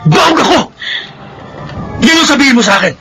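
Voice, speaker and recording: An actor playing a hot-tempered, jealous young husband in a radio drama, shouting in rage and later speaking softly. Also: male voice, very high voice, big pitch swings, fairly quick, loud music behind the voice, exaggerated voice. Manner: shouting in rage, then soft and tender. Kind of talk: Filipino radio drama acting.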